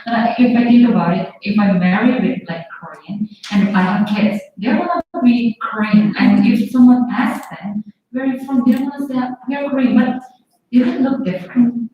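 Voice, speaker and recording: A woman's voice: a little high, lo-fi sound, soft background music. A young woman panelist from Texas speaking earnestly, switching between two languages with "like" fillers. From Texas, United States. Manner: rapid, earnest talk with "like" fillers. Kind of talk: panel discussion. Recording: echoey and distant with faint noise.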